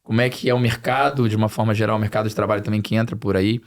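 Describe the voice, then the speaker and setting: A man's voice: fairly low pitch, little pitch movement, rapid-fire. Brazilian man explaining in conversation. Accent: Brazilian Portuguese, slightly mixed with European Portuguese. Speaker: podcast guest, financial planning expert. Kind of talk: conversational podcast interview.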